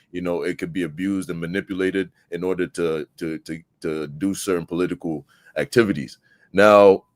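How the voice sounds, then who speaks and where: A man's voice: low voice, no room sound, studio quality. A man from Zambia with a Zambian accent, chatting casually as an interview guest and explaining things with frequent tag questions.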